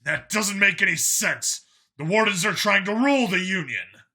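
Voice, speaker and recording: A man's voice: high-pitched, animated, moderate pace, pitched above the performer's own voice, full character voice. A man voicing a determined, commanding young male soldier in a science fiction audiobook. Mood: urgent, defiant. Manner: forceful, commanding. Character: Vincent, determined young male soldier clone, gruff and urgent.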